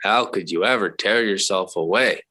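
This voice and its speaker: An actor playing a dim, teasing American teenage son in a 1940s radio sitcom, with a drawn-out, mocking way of talking. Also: male voice, low-pitched, bright, forceful tone. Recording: noisy, clicky vintage 1940s radio.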